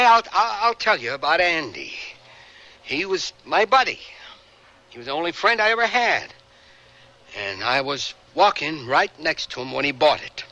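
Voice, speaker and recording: An actor in a radio drama playing a bitter, haunted, volatile working-class Vietnam veteran with rough colloquial, defensive speech. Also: male voice, fairly high pitch, sharp tone, exaggerated voice.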